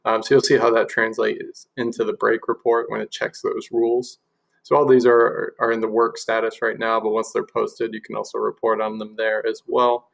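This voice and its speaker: A man giving an informal screen-share software demonstration, explaining things step by step. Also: fairly low pitch, flat delivery, normal speed, gravelly, rough recording.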